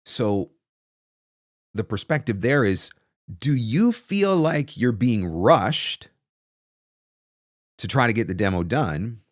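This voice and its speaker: A man's voice: mid-range voice, animated, slow, pauses often, no echo. A man in his forties hosting a casual advice livestream as a coach, conversational and emphatic, repeating phrases for stress, close on a desk mic in a home studio. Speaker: host and voice-over coach. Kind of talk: casual livestream advice, answering viewer questions. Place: small home studio, indoors. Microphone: close desk microphone on a stand.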